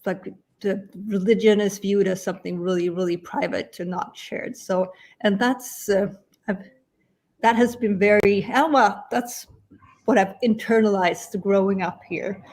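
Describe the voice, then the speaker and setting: Woman chatting with halting restarts. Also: medium pitch, fairly even intonation, darkish tone. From Sweden. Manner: halting, many restarts and little laughs. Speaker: guest. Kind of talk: casual interview chat.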